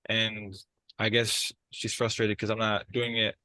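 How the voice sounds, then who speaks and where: A man playing a frustrated, unsure brother in a dramatized therapy session, talking in a halting, hesitant way. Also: fairly low pitch, monotone, sharp tone, no echo. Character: frustrated, unsure brother struggling to keep a job.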